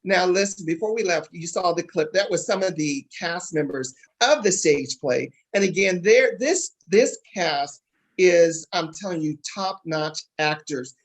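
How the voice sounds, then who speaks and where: A talk show host with a husky voice, addressing guests and viewers in an emphatic, encouraging way that repeats phrases for stress. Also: medium pitch, unhurried.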